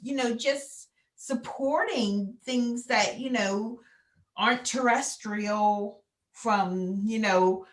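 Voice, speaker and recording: A woman's voice: fairly high pitch, takes it slowly, reverberant. A woman astrology consultant talking in a casual, rambling way, full of "you know" fillers. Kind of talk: casual video call chat.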